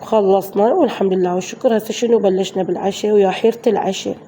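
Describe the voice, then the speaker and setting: A woman with an Iraqi Arabic accent, hoarse from a flu, chatting through a voice-over of her daily home routine for her viewers. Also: medium pitch, steady tone, racing, dark tone, slight background noise. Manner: chatty, addresses viewers as girls. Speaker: vlogger.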